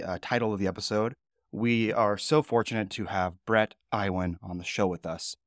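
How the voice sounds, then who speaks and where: A man in his thirties co-hosting a podcast in casual, rambling chat, his voice low and groggy from the morning. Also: no room sound, studio quality. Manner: relaxed, rambling, chatty with fillers. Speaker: podcast co-host.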